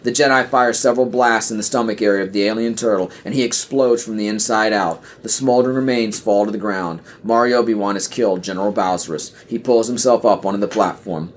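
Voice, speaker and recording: A man with a lowish voice narrates a scripted audio play. He reads out screenplay action and scene headings as a podcast narrator. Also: brisk, few pauses, slight background noise.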